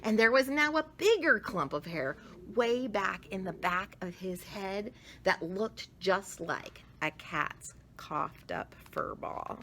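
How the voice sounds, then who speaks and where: Expressive bright-voiced woman reading a children's story. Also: medium pitch, a little hiss or hum. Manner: theatrical, dramatic emphasis and drawn-out words. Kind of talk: animated picture-book read-aloud.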